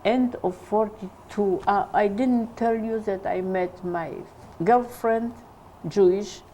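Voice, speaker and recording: Older woman recalling slowly. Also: medium pitch, dark tone, slight background noise. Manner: halting, searching for English words. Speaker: interviewee. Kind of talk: oral history testimony.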